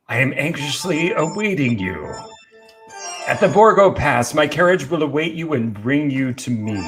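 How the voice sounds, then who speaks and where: A man's voice: mid-range voice, close to an everyday voice. A man voicing a vengeful, grandiose vampire prince in a theatrical, declamatory manner, in an amateur script reading. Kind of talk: amateur script read-through.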